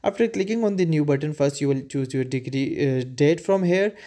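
A man's voice: on the high side, fairly quick, mellow. A man whose first language is Urdu, walking through a screen-recorded tutorial with frequent hesitations and filler sounds. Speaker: tutorial presenter.

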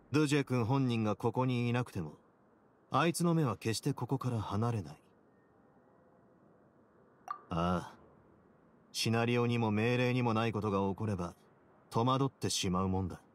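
An actor playing a composed, shrewd duke in a game, speaking in a cool, measured, authoritative way. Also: male voice, medium pitch, slight background noise, close to an everyday voice. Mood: commanding. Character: composed, shrewd male duke in command of a fortress. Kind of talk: Japanese game voice acting.

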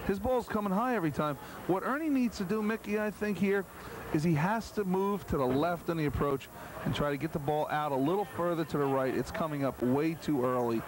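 A man's voice: a little high, brisk, crowd noise. A man with an American accent hosting live sports commentary from a bowling alley, with joking, teasing banter. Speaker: bowling show commentator and host. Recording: noisy bowling alley, 1990s cable TV audio. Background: clear bowling alley noise.

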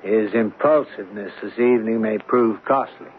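An actor playing a suspicious, demanding government agent in a radio drama, formal and insistent. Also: male voice, lowish voice, normal speed, close to an everyday voice. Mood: cold, suspicious. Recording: dull, noisy old radio broadcast.

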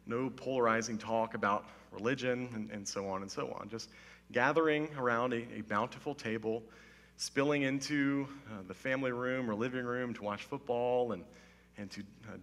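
A man in his thirties, a pastor, giving a casual, humorous sermon in a slightly hoarse voice, playful and mock-serious, on a headset mic on a church stage. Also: mid-range voice, a bit bright. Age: 30s. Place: church stage with wood-panelled wall. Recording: long hall reverb.

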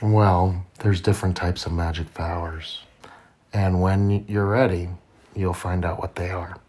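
A man reading a children's storybook aloud to his child in simple, deliberate phrasing. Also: low voice, little pitch movement, a little mellow. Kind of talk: children's read-aloud narration.

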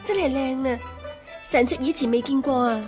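An actress playing a pampered young imperial consort in a historical radio drama, sweet and coquettish in manner. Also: female voice, high-pitched, moderate pace, over music, close to an everyday voice. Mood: delighted.

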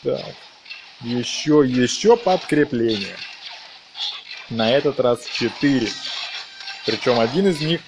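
A man's voice: medium pitch, expressive, slow pace, vocal fry, long pauses, noisy background. A man giving casual, playful let's play commentary, talking along with the action of a video game. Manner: playful, talks along with the action, uses diminutives. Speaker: gameplay commentator.

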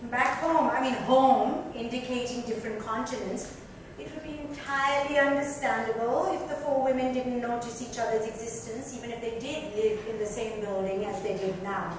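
A woman reading a book aloud as dramatic scripted narration. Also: high voice. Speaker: reader. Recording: echoey room, distant, noisy.

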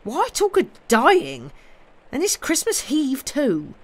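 A woman's voice: sits high, huge pitch swings, slow pace, pitched above the performer's own voice, full character voice. A woman voicing a plain-spoken rustic working man in a storybook, folksy, blunt and deferential. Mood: dismayed.

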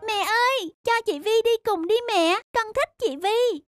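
Very high-pitched small boy. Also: animated, unhurried. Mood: pleading. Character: mischievous, fretful small boy, affectionate and babyish. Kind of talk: children's cartoon voice acting. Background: children's background music.

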